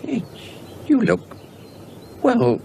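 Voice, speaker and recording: An actor playing a chatty, inquisitive mail clerk with an English accent in a British radio horror drama. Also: male voice, very high-pitched, huge pitch swings, drawn-out words, gravelly, a little mellow, a little hiss or hum, exaggerated voice. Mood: apologetic, curious. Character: chatty, nosy, polite male mail clerk.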